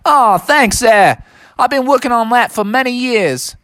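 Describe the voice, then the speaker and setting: A man's voice: very high voice, expressive, measured pace, fairly bright tone, dry recording, studio quality, voice pushed up, full character voice. A man voicing an easygoing, friendly kangaroo in a children's story, with a put-on Australian accent and a folksy, chummy manner. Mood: pleased. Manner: folksy, laid-back, chummy.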